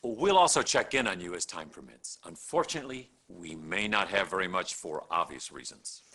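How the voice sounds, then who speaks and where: An actor playing a smug, vain, middle-aged hospital chief of staff in a video game cutscene, pompous and self-satisfied. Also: male voice, low-pitched, animated, moderate pace, pushed and bright, slightly rough, full character voice. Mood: businesslike. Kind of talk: live-action video game cutscene acting.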